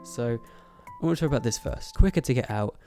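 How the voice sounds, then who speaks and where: A young man with a stuffy nose from a cold, rambling casually to camera about moving into his student flat, swearing often and laughing between thoughts. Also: medium pitch, brisk, music in the background. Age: young adult. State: congested from a cold. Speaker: YouTuber. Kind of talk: casual vlog chat to camera.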